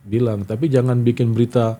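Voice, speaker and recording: A man's voice: medium pitch, steady tone, fairly quick, warm tone. A middle-aged man, recounting anecdotes as an interview guest and acting out quoted exchanges in casual conversation. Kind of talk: casual talk show interview, telling anecdotes.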